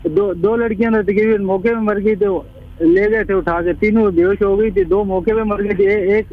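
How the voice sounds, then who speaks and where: A man with a Karachi Pakistani accent calling a radio show over the telephone, telling a story in a halting way with repeated words. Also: high voice, fairly even intonation, no room sound. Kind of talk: recounting an eerie incident on a phone-in. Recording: tinny narrow phone line.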